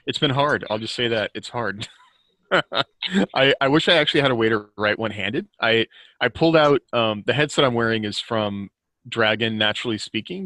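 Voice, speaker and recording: A man's voice: fairly low pitch, pushed and bright, no echo. An American man chatting casually and telling anecdotes as a podcast guest, informal and often chuckling as he talks.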